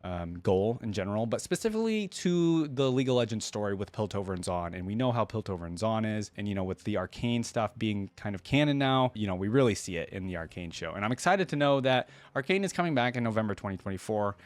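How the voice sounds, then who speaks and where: A man's voice: fairly low pitch, expressive, fast talker, hardly any pauses, dry recording. A man giving casual reaction commentary as a video host, chatty and rambling, often tagging questions onto his remarks.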